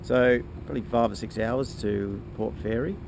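A man, giving a relaxed, halting casual update as a sailing vlogger, recorded on a handheld camera outdoors. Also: mid-range voice, gravelly. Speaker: sailing vlogger, boat skipper. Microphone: camera held at arm's length. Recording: outdoor wind and boat noise.

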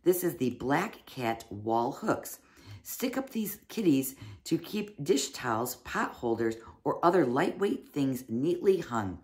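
Low-voiced chatty woman unboxing. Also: big pitch swings, slow. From United States. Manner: chatty, fond of "little" and "like". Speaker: unboxing reviewer. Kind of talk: casual product unboxing. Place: small cozy room indoors. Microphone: fixed camera, seated at desk.

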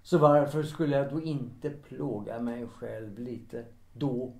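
An actor playing a resigned, deferential servant with quiet bitterness, formal and guarded, in a period audio drama. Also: male voice, medium pitch, steady tone, vocal fry, lightly acted. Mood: bitter, resigned. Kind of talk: period audio drama acting, 1930s setting. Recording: roomy, echoing sound.

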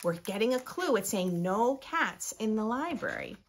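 An American woman in her thirties reading a picture book aloud with expressive, teacherly asides to young children. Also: mid-range voice, normal speed. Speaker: children's story host. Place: small furnished room at home.

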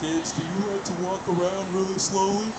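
A man's voice: high voice, little pitch movement, normal speed, pitched above the performer's own voice, natural voice. A man voicing a laid-back, contented turtle in a children's puppet show. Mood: gentle. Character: slow, easygoing male turtle, content and unhurried. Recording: noisy outdoor amplified puppet show.

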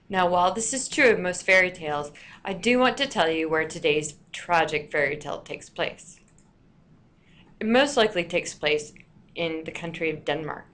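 A woman with a slightly low voice narrates a lesson in the way of a teacher. She speaks deliberately, stressing key words as a storyteller would. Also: fairly bright tone. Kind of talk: scripted lesson narration for listeners.

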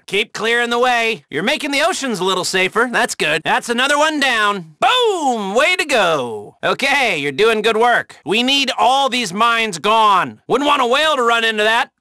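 Bright, high-pitched male hacker handler. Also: no room sound, clean studio sound. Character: wry, sardonic male hacker mission handler. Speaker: video game character. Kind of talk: game mission briefing dialogue.

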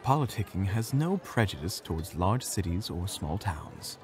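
A man playing a smooth-talking, snide adventurer in an audio drama, with a pompous, affected way of talking. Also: low voice, sing-song, moderate pace, a little mellow, soft background music. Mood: self-justifying.

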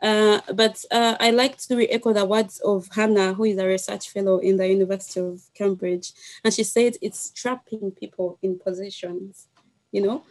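A woman's voice: medium pitch, flat delivery, normal speed, darkish tone, poor audio. Ugandan woman speaking thoughtfully, hesitantly. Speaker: guest panelist, wildlife researcher. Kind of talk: video call debate. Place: small indoor room. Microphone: headset on a video call.

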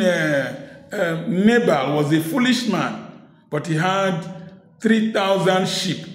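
A middle-aged man from Africa preaching to the camera in an emphatic way, repeating key words and adding sharp humor. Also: fairly high pitch, echoey room. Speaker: preacher and speaker. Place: indoor room at home, seated in office chair.

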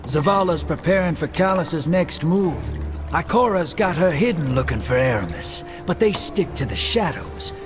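Guy doing a roguish smuggler in a video game, folksy swagger, highish voice, music behind. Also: animated, moderate pace, close to an everyday voice. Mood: wry, confident. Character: roguish, cocky male smuggler, streetwise and wry. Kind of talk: video game cutscene acting.

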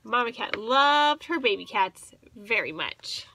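Slow, animated woman reading a children's story. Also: on the high side, slight background noise. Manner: expressive, child-directed, with questions to listeners. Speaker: teacher reading stories to children. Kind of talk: children's storybook read-aloud. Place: small indoor room with bookshelves.

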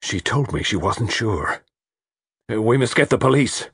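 A man's voice: on the low side, animated, measured pace, fairly bright tone, slightly rough, no room sound, clean studio sound, exaggerated voice. A man playing a grim, agitated, vengeful male character who speaks heavily and thickly in an audiobook. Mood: shaken, muttering. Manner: heavy, thick, muttering or accusing.

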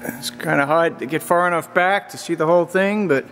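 A man's voice: fairly high pitch, fairly quick, fairly bright tone, long reverb tail, slight background noise. A man giving a plain, matter-of-fact demo of a machine in a large indoor machine shop, recorded on a handheld camera. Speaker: machinery dealer. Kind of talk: casual product demo.

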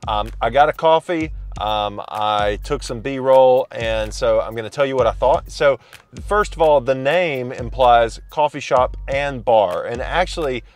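A man in his thirties talks loudly and chattily into a phone held at arm's length. He sits in a parked car and gives an upbeat, casual vlog review in a mid-pitched voice. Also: unhurried. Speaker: coffee shop reviewer. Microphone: phone camera at arm's length.

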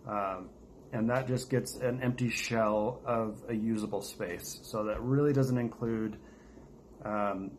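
A man's voice: fairly low pitch, slow. An American man chatting casually to viewers as the host of a vlog. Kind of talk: casual chat to camera. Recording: steady noticeable background noise.

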